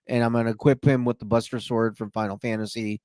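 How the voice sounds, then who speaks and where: A man's voice: medium pitch, little pitch movement, quick pace, a little mellow. A man with a mid-range voice chats as a podcast co-host, explaining card game details with keen enthusiasm. The sound is close and dry, with no room echo. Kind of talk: casual chat.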